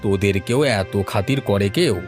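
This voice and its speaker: A man's voice: fairly low pitch, normal speed, lightly acted. A man voicing a fussy, chiding village father in a melodramatic cartoon, speaking in exclamations. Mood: brusque, chiding. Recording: music behind the voice.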